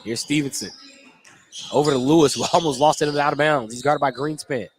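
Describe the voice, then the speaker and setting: A man's voice: on the high side, a little fast. A man calling live basketball play-by-play for radio, in clipped phrases with detailed descriptions of the action. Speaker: play-by-play announcer. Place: indoor basketball gym during a game. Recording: noisy gym ambience, bouncing ball. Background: gym noise and basketball bounces.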